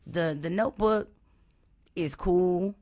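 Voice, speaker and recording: A woman from Texas, hosting a live stream in a relaxed, chatty way over an online call. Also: lowish voice, takes it slowly. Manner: relaxed, chatty, open about personal experience. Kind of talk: casual chat. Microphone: online call or stream mic.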